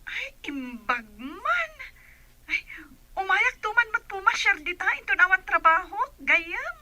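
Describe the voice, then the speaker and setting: A woman's voice: very high-pitched, sing-song, measured pace, bright, forceful tone, exaggerated voice. A woman playing a chatty, eager village friend in a radio comedy drama, with an Ilocano Filipino accent. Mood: curious, friendly.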